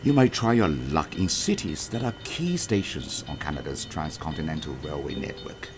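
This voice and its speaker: Deep, slow, sad one-legged street musician, over a music bed. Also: male voice, animated. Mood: weary. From China. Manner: slow, humble and sorrowful. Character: poor aging Chinese railway laborer, one-legged, humble and weary. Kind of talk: audio drama acting. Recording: music playing underneath.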